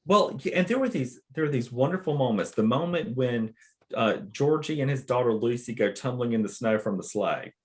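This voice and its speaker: A man's voice: medium pitch, expressive, brisk. A man talking in a book club chat, recounting film moments with enthusiasm. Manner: warm, enthusiastic about the film.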